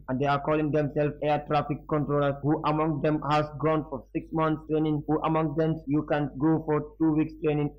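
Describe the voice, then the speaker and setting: Male news reader, slightly high voice, formal but stumbling delivery of press reports, muffled lo-fi sound. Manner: formal reading with frequent stumbles over words. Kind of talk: reading newspaper reports aloud.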